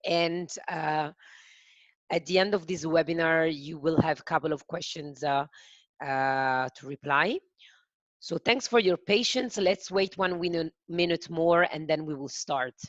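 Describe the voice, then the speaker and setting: A woman with an Italian accent hosting a software demo webinar, speaking carefully and haltingly with frequent fillers. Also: deep voice, no room sound, dull audio. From Italy. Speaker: webinar host.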